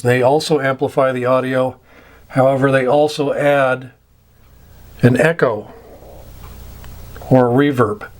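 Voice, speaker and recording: A man giving an informal explanatory lecture as a radio instructor, speaking deliberately in short, halting phrases and repeating words for emphasis. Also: mid-range voice. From United States.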